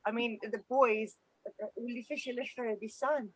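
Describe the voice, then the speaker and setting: A woman's voice: fairly high pitch, fairly even intonation, moderate pace, no room sound, rough recording. A woman whose first language is Afrikaans, a homeschooling mother answering interview questions in an earnest, grateful way, often restarting her sentences.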